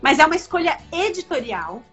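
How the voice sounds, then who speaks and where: Animated high-voiced woman on a video call. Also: fairly quick, faint music behind. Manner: animated, emphatic, many fillers and restarts. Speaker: comics editor and guest. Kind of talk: casual video-call interview. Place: home room with bookshelves. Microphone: laptop webcam on video call. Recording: dull lo-fi video call audio.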